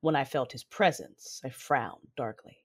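A woman's voice: a bass voice, measured pace, slightly rough, exaggerated voice. A woman playing an intense, battle-hardened Sith mother who narrates her own story theatrically in an audio drama. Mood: brooding, tense. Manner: brooding, theatrical, emphatic outbursts. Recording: music bed with rain sounds behind.

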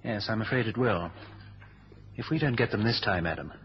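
An actor playing a dry, worried British government official in a 1970s radio spy drama, formal and understated. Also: male voice, on the low side, animated, normal speed, heavy background noise. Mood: dry, resigned. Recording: dull old radio recording.

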